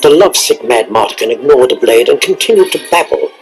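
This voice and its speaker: A man's voice: mid-range voice, animated, fast talker. A man reading a scripted, dramatic storybook narration on a read-along cassette. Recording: cassette narration over loud music. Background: loud orchestral music and sound effects.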